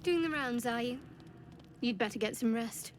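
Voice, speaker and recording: A woman's voice: fairly high pitch, normal speed, a little hiss or hum, natural voice. An actress playing a stern, wary warrior woman in a fantasy video game, curt, proud and dry. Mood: suspicious.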